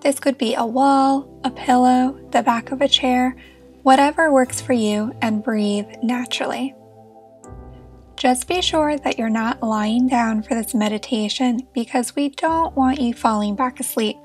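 High-voiced woman guiding a meditation. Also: fairly even intonation, a little slow, faint music behind. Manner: gentle, reassuring, encouraging. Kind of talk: guided meditation narration.

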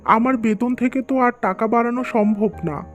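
A woman's voice: fairly high pitch, moderate pace, faint music behind, natural voice. Woman voicing an earnest young husband in a cartoon. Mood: worried. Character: earnest, worried young husband and dutiful son. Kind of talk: scripted cartoon dialogue.

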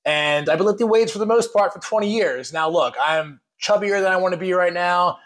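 A man's voice: high voice, fairly quick, a bit bright. A man in his late thirties talks casually and frankly to the camera, often restarting sentences and hedging. He speaks from a home kitchen into a camera mic a short way off. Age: 30s. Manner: chatty, frank, restarts and hedges. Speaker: fitness vlogger. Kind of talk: casual vlog commentary.

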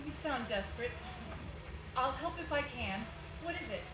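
A woman plays a wary, prim librarian in a stage radio play. Her voice is high and swings widely. It comes from far off in a noisy hall. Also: animated, moderate pace. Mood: hesitant. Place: small indoor theatre stage. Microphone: far camera from back of audience. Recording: distant, echoey, noisy theatre audience recording.

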